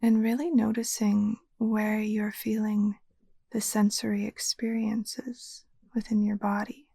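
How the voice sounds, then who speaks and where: A woman guiding a meditation as a yoga nidra teacher, speaking in a soft, soothing, breathy near-whisper. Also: mid-range voice, little pitch movement, takes it slowly, dry recording. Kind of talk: guided meditation narration.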